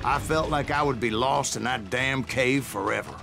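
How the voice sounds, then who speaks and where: Man playing a gravelly old Western gunslinger. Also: mid-range voice, normal speed, lightly acted. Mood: gritty storytelling. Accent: American. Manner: drawling, folksy storyteller. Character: grizzled old male Western gunslinger telling tall tales. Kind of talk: scripted in-character narration. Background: music.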